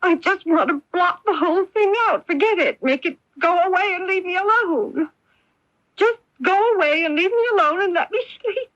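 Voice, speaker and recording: An actress playing a middle-aged mother, wounded, bitter and quietly desperate, speaking with halting, emphatic repetitions in a radio drama. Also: very high voice, no echo, muffled recording. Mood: anguished despair. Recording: band-limited 1980s AM radio broadcast.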